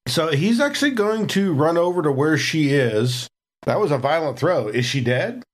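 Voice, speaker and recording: A man's voice: on the high side, big pitch swings, normal speed. A man playing a tabletop game, chatting casually about his character in the third person. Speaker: player.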